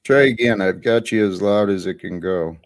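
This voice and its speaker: A man hosting a livestream, talking slowly and thoughtfully about his life with many pauses. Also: on the low side, faint music behind. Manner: slow, thoughtful, pausing. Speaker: livestream host. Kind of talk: reflective livestream talk.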